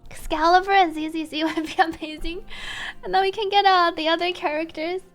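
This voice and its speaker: A woman's voice: extremely high pitch, fairly even intonation, a little fast, a bit bright. A woman game streamer with a chatty, giggly manner, casually reacting and reading subtitles aloud.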